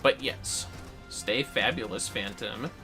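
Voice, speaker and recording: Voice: medium pitch, slow. A game streamer giving casual commentary on play and replying to chat, in a playful, muttering way with some swearing. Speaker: game livestreamer. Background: game music.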